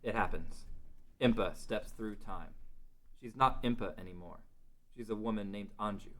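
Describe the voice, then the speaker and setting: A man's voice: lowish voice, normal speed, a bit bright. A man reading scripted dramatic narration for a fantasy story table read.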